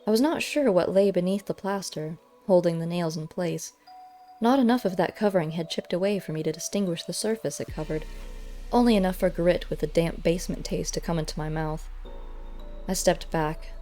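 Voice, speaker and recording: A woman reading a first-person story aloud as an audiobook narrator, measured and expressive. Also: fairly low pitch. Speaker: audiobook reader. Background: faint music.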